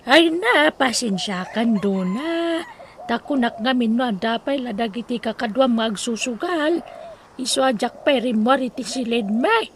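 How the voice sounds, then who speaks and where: A woman's voice: fairly high pitch, unhurried, a little hiss or hum. A woman with a Filipino accent playing a meek, deferential member of a household in a radio drama. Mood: apologetic.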